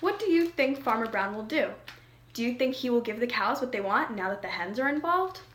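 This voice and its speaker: Woman doing kids story time, high singsong voice, expressive, kinda echoey room. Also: a little fast, a little hiss or hum. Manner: expressive, addresses young listeners with questions. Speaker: storybook reader. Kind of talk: children's read-aloud narration.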